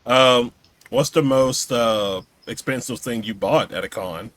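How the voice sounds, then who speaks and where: A man hosts a relaxed interview podcast. His voice is bright and forward, recorded very dry with hardly any room sound. He talks in an everyday, chatty way. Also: medium pitch, measured pace, no echo. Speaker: podcast host. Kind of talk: casual interview chat.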